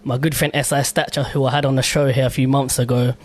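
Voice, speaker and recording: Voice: mid-range voice, level tone, fast talker. A radio host, chatting casually and informally in slang while interviewing a guest, often chiming in with short affirmations. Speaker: radio show host and music artist.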